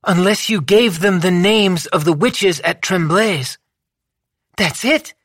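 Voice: takes it slowly, a bit bright, dry recording, clean studio sound. A reader doing a fiery young woman fighter. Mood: earnest. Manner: raised pitch for the character, urgent and pleading. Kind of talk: audiobook character voice.

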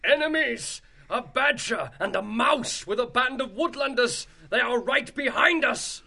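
A storybook rat henchman, frantic and alarmed. The yelling is very high and sharp, with slowed, stretched words. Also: lively intonation, vocal fry, slightly rough, full character voice. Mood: panicked.

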